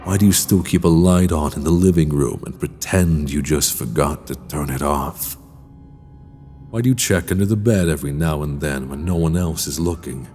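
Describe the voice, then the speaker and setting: Very deep, ominous horror host. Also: male voice, darkish tone. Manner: slow, deliberate, ominous, theatrical. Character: sinister male horror-story host, slow and menacing. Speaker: horror anthology host and narrator. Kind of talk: theatrical scripted horror narration. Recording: long reverb, music underneath.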